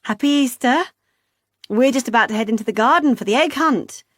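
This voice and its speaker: A woman voicing a fussy, doting older mother who coos and gently complains. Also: fairly high pitch, fairly quick, dry recording, clean studio sound, pitched above the performer's own voice, lightly acted. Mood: cooing.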